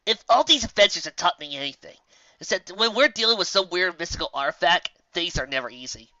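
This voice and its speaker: Woman putting on a brash, bright young pegasus. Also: lowish voice, animated, fairly quick, no echo, dull audio, pitched above the performer's own voice. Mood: wry. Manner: casual, cocky. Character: brash, confident, sporty young female pegasus.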